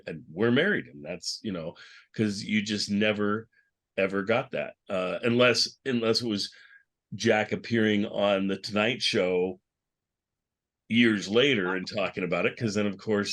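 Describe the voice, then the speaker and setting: A man's voice: fairly low pitch, fairly bright tone. A man hosting a casual panel chat, rambling along and teasing the others in a joking way. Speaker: podcast host moderating a panel. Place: online video call panel.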